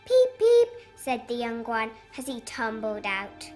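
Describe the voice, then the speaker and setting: A woman's voice: a little high, big pitch swings, takes it slowly, darkish tone. A woman reading a storybook aloud in character, as narrator and critical old mother duck, over music. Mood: expressive. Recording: music behind the voice.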